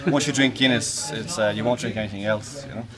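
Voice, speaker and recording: A man's voice: lowish voice, steady tone, a little fast, a bit bright. A man, a pub regular, chatting heartily about his favourite drink in a busy pub. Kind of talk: casual chat.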